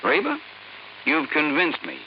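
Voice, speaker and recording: An old muffled radio skit with a fussy, amiable husband, a sergeant. His highish, creaky male voice swoops widely as he hems and haws. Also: wildly swooping pitch, measured pace, heavily acted. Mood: reluctant. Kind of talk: comic domestic radio skit acting. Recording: muffled, slightly noisy old radio recording.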